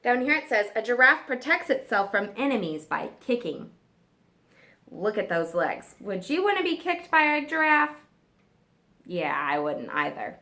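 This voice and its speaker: A woman teacher reading a nonfiction book aloud to children, stressing key words and pausing for simple questions and explanations. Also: a little high, very animated, bright, forceful tone.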